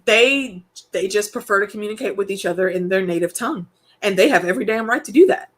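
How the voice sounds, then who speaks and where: A woman's voice: medium pitch, lively intonation, quick pace, a bit bright. A woman hosting a casual chat, talking frankly with stammered restarts. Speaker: host.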